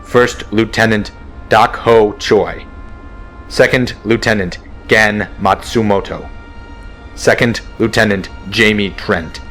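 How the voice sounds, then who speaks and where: A man's voice: lowish voice, fairly even intonation, fairly bright tone, soft background music. A man playing a weary fighter colonel. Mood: solemn. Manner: solemn and measured, dryly blunt in private. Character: grieving, weary male fighter-wing colonel. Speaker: colonel and squadron commander. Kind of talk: fan audio drama acting.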